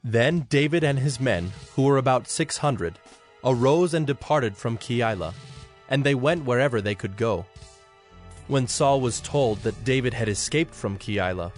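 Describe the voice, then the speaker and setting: A man narrating scripture from a script in a measured, formal storytelling manner. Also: mid-range voice, expressive, faint music behind.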